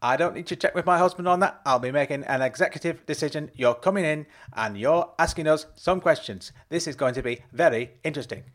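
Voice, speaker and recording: A man voicing a brash middle-aged mother who shouts sarcastically. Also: a little high, a little fast, few pauses, voice pushed up, natural voice. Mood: sarcastic. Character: loud brash middle-aged northern mum.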